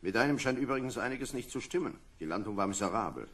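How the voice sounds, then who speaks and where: Low-voiced gruff space lieutenant. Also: male voice, animated, fast, fairly bright tone. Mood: weary, dry. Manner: commanding, dryly grumbling. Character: gruff, weary male lieutenant leading an asteroid survey. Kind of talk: vintage German sci-fi radio play acting.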